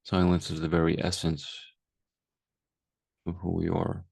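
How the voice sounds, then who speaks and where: A man guiding a meditation as a teacher, speaking calmly and unhurriedly with long pauses. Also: sits low, gravelly, husky, no room sound, clean studio sound. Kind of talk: guided meditation, unscripted.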